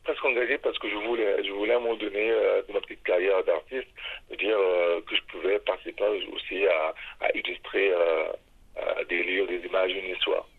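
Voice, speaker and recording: Very deep husky man, phone-like. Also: steady tone. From Congo. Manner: halting, searching for words. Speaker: illustrator, artist. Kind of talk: personal interview testimony. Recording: narrow, phone-like muffled sound.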